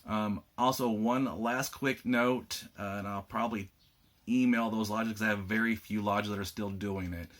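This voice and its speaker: A man with a slightly rough voice, giving a casual video update to lodge officers, plainspoken with frequent fillers. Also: lowish voice, steady tone, moderate pace, a bit bright. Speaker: state lodge administrator giving members an update.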